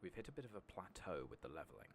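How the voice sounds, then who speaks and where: A British man giving hushed, soft-spoken ASMR game commentary, often slipping into a whisper. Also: low voice, sing-song, quick pace, vocal fry, a little hiss or hum. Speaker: game streamer.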